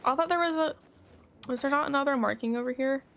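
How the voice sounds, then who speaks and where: A woman's voice: high voice, fast. A woman livestreaming a game, chatting casually and thinking aloud. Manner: thinking aloud, animated exclamations. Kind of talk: casual gaming stream chat, reading quest dialogue aloud. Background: faint game music.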